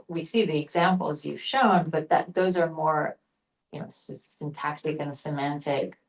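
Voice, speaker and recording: A woman's voice: sits low, rough recording. A woman moderating a session, asking an unscripted academic question in formal, carefully searched-for technical phrasing.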